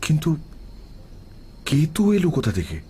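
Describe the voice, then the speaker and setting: A man narrating a first-person horror story in a dramatic, suspenseful, expressive scripted style. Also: fairly high pitch, measured pace, background noise. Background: prominent music.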